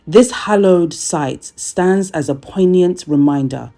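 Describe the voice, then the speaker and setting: A narrator reads a formal script in a measured, solemn way. The tone is dark and mellow. A soft bed of music plays underneath. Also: mid-range voice. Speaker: documentary narrator.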